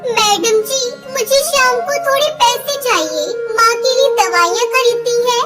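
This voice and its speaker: A woman's voice: very high-pitched, a little slow, loud music behind the voice. A woman voicing a poor, honest young female bird in a children's cartoon, speaking earnestly and respectfully. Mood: sweet, polite. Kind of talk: children's cartoon story dialogue.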